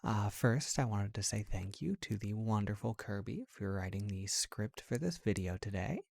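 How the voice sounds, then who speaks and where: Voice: sits low, expressive, normal speed, vocal fry, darkish tone, no room sound. An ASMR audio creator giving a casual, friendly announcement straight to listeners.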